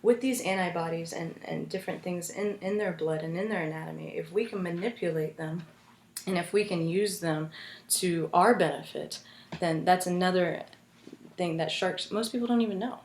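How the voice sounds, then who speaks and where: A young American woman giving an informative class speech in an earnest, halting way, with frequent restarts, at home with her roommates listening. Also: fairly low pitch. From United States. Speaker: student speaker. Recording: echoey room.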